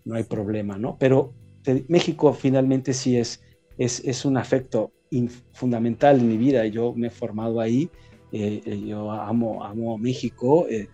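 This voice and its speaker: A man with a Mexican accent talks through his ideas as an interviewed writer, pausing and restarting as he thinks. His voice is warm and dark in tone, at an ordinary middle pitch. Faint music sits low behind him. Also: fairly even intonation, highs cut off. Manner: reflective, halting, with frequent restarts. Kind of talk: conversational interview.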